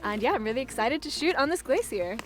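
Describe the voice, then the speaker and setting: A woman's voice: high voice, expressive, quick pace, music in the background. A woman of around thirty with an Irish accent, chatting casually to a vlog audience outdoors on location. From Ireland. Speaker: photographer on location. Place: outdoors on a glacier.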